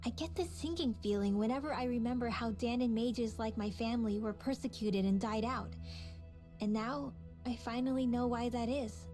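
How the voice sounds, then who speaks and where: High bright-voiced young mage girl, earnest and reflective, voiced by a woman, soft game music under it. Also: moderate pace, close to an everyday voice. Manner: sincere, reflective. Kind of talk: video game cutscene dialogue.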